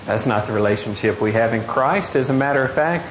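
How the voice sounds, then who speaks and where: Middle-aged preacher in an echoey hall. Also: male voice, medium pitch, fairly quick. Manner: animated, gestures widely, asks the congregation questions. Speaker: pastor. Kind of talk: sermon. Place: large wooden open-air church hall. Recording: echoey hall, faint noise.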